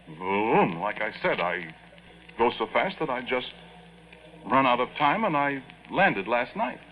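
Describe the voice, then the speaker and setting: An old, muffled radio drama recording with light hiss carries a young army sergeant. He is flustered and deferential, yet insistent, and his pitch leaps around as he stammers through his explanations. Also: big pitch swings, a little slow. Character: flustered, earnest young army sergeant with time-travel theory. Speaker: staff sergeant. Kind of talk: 1950s radio drama acting. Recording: dull, noisy 1950s radio recording.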